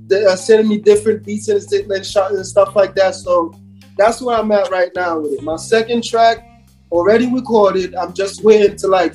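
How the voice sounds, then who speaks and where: A man's voice: sits high, darkish tone, poor audio. A man from Haiti talking casually as a hip hop artist guest on a podcast, in slangy, repetitive, emphatic phrases.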